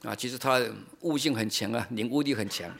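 A middle-aged man from China with a mid-pitched voice, preaching. He explains in short phrases and leaves pauses for an interpreter. Rhetorical questions shape his teaching. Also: vocal fry, fairly bright tone.

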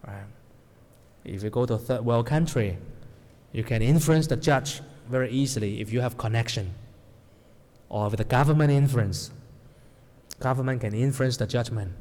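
A man's voice: mid-range voice, darkish tone. Male preacher in a hall. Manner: non-native English with grammar slips, asks the audience "right?". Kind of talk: sermon to a congregation. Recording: long hall reverb.

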